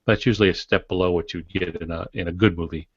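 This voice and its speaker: A middle-aged man who writes fiction, chatting on a podcast in a measured, explanatory way with dry humor. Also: deep voice, steady tone, husky. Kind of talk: casual podcast discussion. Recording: dull audio in echoey room.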